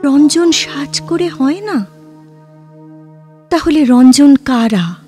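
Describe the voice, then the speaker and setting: A woman playing a girlish, searching, idealistic young woman in love in a literary audio drama, with halting, intense delivery. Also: sits high, expressive, darkish tone, faint music behind. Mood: searching, bewildered.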